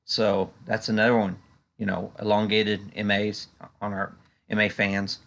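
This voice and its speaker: A man giving unscripted stock market commentary in a conversational, halting way, often padding with "you know". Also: fairly low pitch, completely flat delivery, gravelly, fairly bright tone. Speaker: stock market analyst and video host.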